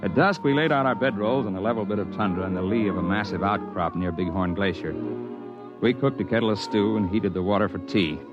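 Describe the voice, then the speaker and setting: Actor as low-voiced climber, vintage radio drama. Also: male voice, fairly quick, over music, lightly acted. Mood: measured, reflective. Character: steady, hearty male mountain climber telling his tale. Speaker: narrator and character. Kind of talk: 1950s radio drama, first-person narration and dialogue. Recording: dull band-limited 1950s radio recording.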